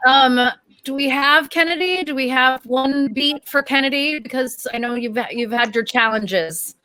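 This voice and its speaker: A woman's voice: sits high, bright, forceful tone, dry recording, rough recording. A woman hosting a group interview over a video call, upbeat and encouraging. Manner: upbeat, encouraging, quick affirmations. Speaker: radio host.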